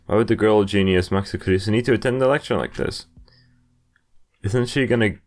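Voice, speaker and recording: A man's voice: on the low side, a little fast. A man in his twenties hosting a playthrough, reading game narration aloud with casual asides. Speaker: gaming video host. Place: indoor room, webcam in corner.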